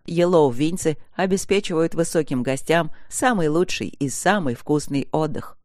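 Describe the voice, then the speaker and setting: A woman's voice: low-pitched, expressive, moderate pace, a little mellow, no room sound, studio quality. A woman voicing a silly male television presenter in an audiobook, announcing the week's news playfully. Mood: jokey, upbeat.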